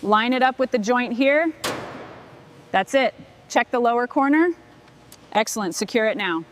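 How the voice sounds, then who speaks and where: A woman's voice: fairly high pitch, lively intonation, moderate pace, noisy background. A woman giving short, scripted work instructions as part of a restoration crew. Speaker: workshop crew member directing truck restoration.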